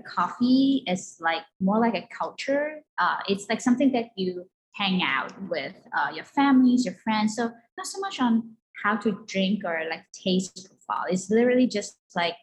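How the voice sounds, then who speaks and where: A woman's voice: lowish voice, poor audio. A woman from Malaysia answering interview questions casually as a guest, chatty and often saying "like". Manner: chatty, peppers speech with "like". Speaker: guest, coffee company co-owner. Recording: clear background noise.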